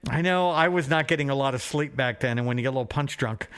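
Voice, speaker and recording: A man's voice: mid-range voice, fast talker, a bit bright, clear, resonant tone. A middle-aged man chatting casually as a podcast co-host and reading out silly items in an amused, irreverent way. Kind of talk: casual phone chat, reading tabloid items aloud for laughs.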